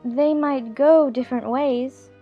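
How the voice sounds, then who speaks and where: Woman acting earnest little girl, high voice, polite, eager, puzzled but reasoning, storybook drama, soft music. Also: fairly even intonation, slow pace, highs cut off, full character voice.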